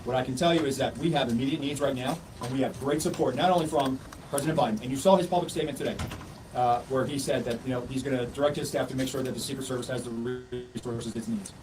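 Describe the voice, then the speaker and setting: A man's voice: medium pitch, quick pace, music in the background. A man speaking as an official answering questions at a press conference, using formal, emphatic phrasing. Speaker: Secret Service official. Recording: noisy, distant press conference pickup.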